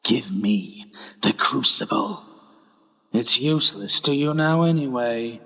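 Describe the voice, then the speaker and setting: Radio drama villain, male actor: smug, menacing, unhurried, husky, reverberant. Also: medium pitch, expressive, fairly bright tone, heavily acted. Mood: smug menace. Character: gloating, ruthless male villain demanding a relic. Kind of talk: fantasy audio drama acting.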